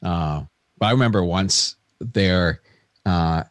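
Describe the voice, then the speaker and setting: Low-voiced host asking relaxed questions. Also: male voice, slow, dry recording. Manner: relaxed, brief questions and interjections. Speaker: interview host. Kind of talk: casual interview chat.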